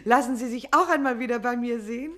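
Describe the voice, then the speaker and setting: An actress playing a flirtatious, self-centred, capricious wife in a radio play, coquettish and chatty. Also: female voice, on the high side, fast talker, a bit bright, natural voice. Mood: teasing, coquettish.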